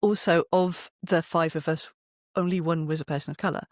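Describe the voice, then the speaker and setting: A British speaker with an expressive manner, talking as an interview guest and often acting out imagined quotes. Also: mid-range voice, a little fast, dry recording, studio quality. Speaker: podcast guest, writer and poet. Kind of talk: animated interview conversation.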